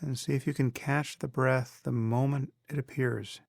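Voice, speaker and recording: Guy teaching meditation, bit of vocal fry, really dry close mic, measured w lots of pauses. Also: mid-range voice, fairly even intonation, darkish tone, dry recording. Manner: measured, instructional, with long pauses. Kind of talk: guided meditation.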